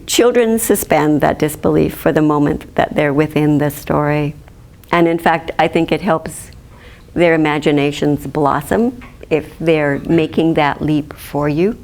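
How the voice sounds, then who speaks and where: A woman in her fifties gives a chatty lecture on storytime puppets, her voice pitched somewhat low for a woman. She is animated and laughs easily. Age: 50s. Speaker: children's librarian teaching. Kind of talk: demonstration lecture.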